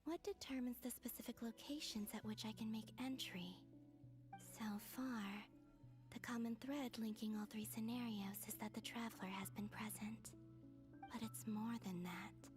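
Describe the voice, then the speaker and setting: A woman playing a gentle, pensive young moon maiden in a video game, with a soft, breathy, musing delivery. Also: a little high, close to an everyday voice. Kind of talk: video game voice acting, inner monologue.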